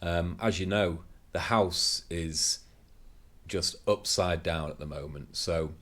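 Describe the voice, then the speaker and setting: A man's voice: deep voice, takes it slowly, fairly bright tone. A man, co-hosting a casual podcast chat from a home workshop, rambling with lots of fillers. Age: 30s. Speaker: podcast co-host.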